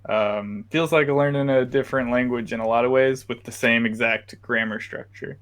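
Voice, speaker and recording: A young man co-hosting a podcast, chatting casually with many pauses and fillers. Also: mid-range voice, steady tone, a bit bright. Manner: easygoing, hesitant, lots of fillers. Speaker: podcast co-host. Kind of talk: casual chat.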